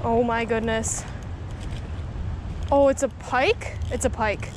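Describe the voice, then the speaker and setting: A woman in her twenties giving excited live commentary while fishing at a creek, gushing with exclamations, recorded on an action camera outdoors. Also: a little high, slow. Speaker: fishing vlogger. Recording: outdoor camera mic with water noise. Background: flowing water.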